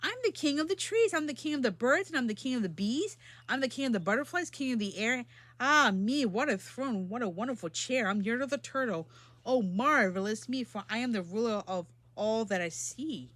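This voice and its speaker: A woman's voice: a little high, lively intonation, a little fast, a bit bright, no echo. A woman voicing a boastful, bossy male turtle king from a storybook in a pompous, commanding bellow. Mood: gleeful, boastful.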